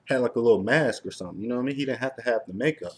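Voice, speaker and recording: A man's voice: mid-range voice, very fast, a little mellow. A man hosting a casual review chat, rambling along and peppering his speech with filler words. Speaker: host of a TV review show.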